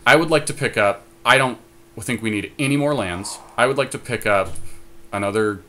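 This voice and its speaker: A man's voice: medium pitch, normal speed, bright, forceful tone. A man co-hosting a podcast, chatting casually about card game strategy and thinking his choices through aloud. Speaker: podcast co-host.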